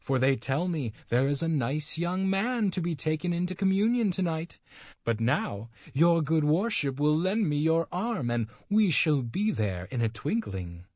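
Man doing a shrill cackling old witch. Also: sing-song, a little slow, a little mellow, no echo, studio quality. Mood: eager. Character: cackling old female witch, pious-seeming and sly.

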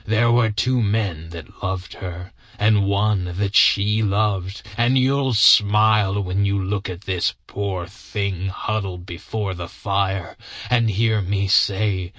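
A man voicing a bitter, broken-down old ex-soldier who speaks slowly and grudgingly. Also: lowish voice, a bit bright, no echo. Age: older. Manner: slow, heavy, grudging storytelling. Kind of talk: audiobook character reading.

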